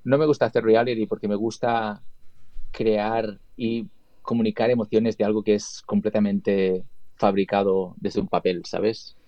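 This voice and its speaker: A man from Spain chats casually as an interview guest, rambling, restarting phrases and tagging his points with questions. His voice is mellow and relaxed. The sound is dull and dry, with no room around it. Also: fairly low pitch, measured pace, dry recording. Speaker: guest, video editor and colorist.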